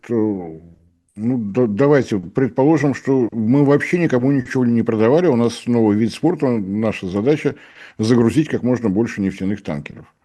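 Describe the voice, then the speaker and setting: A man's voice: medium pitch, dark tone. A middle-aged man giving sarcastic, analytical commentary as an energy analyst guest, with ironic asides and acted-out dialogues. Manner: ironic, dryly mocking, acts out dialogues.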